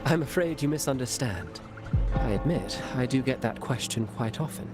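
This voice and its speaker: A man's voice: mid-range voice, expressive, moderate pace, natural voice. A man playing a courteous, enigmatic traveling playwright in a video game, polished and theatrical, with an insinuating way of talking. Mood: musing, theatrical. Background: loud background music.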